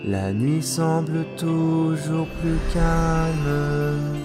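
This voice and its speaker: A man voicing a gentle, loving demon owl father in a theatrical cartoon fan dub, with music behind him. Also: a little high, extremely slow. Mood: sung, melancholy. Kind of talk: animated series fan dub.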